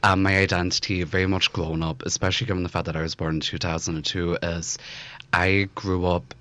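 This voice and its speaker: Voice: sits low, little pitch movement, fairly quick, pushed and bright. A person of twenty-four with a male-sounding voice and a Belfast accent, speaking earnestly in an interview with emphatic, rhetorical repetition. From West Belfast, Northern Ireland. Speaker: interview guest, photojournalist.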